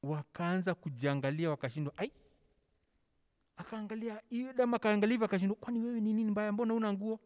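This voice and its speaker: A man's voice: sits high, fast talker, a little mellow. A middle-aged Kenyan man teaching a children's church class, telling a Bible story theatrically, acting out dialogue and slipping casually between languages. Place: large church hall, on stage. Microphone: handheld microphone, held close over face mask. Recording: long hall reverb.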